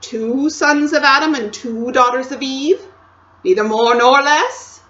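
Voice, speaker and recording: A woman voicing a cold, commanding queen who turns falsely sweet, asking slow, coaxing questions in a storybook reading. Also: sits high, a bit bright, dull audio, pitched above the performer's own voice. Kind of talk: storybook read-aloud.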